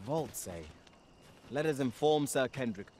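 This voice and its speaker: An actor playing a dutiful, courteous adventurer companion in a fantasy game, with formal archaic phrasing. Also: male voice, mid-range voice, expressive, measured pace, a little mellow, slight background noise, natural voice.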